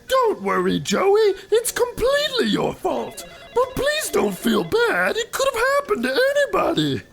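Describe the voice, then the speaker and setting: A woman's voice: very high voice, wildly swooping pitch, measured pace, vocal fry, heavily acted. A woman playing an ailing, guilt-tripping yet encouraging teammate, melodramatic and strained, in a comedic radio play. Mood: mock consoling.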